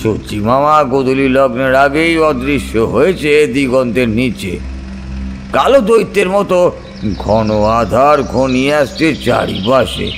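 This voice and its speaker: A man's voice: mid-range voice, expressive, takes it slowly. A man reading a scripted audio story as its narrator. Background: soft background music.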